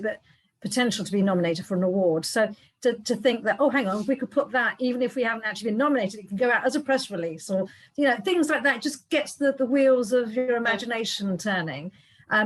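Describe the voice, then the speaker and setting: A woman's voice: mid-range voice, poor audio. Chatty woman sharing thoughts on a call. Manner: chatty, self-correcting, many "you know". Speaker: meeting attendee, founder. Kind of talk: casual online meeting talk. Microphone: video call.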